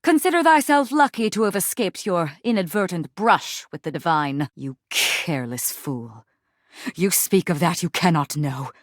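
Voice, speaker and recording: Bombastic, haughty warrior general, swooping delivery. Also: female voice, fairly low pitch, animated, moderate pace, dry recording, clean studio sound. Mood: haughty, passionate. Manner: bombastic, archaic theatrical declaiming. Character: fierce, haughty laundry-pod general, grandiose Shakespearean warrior. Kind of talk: video game character acting.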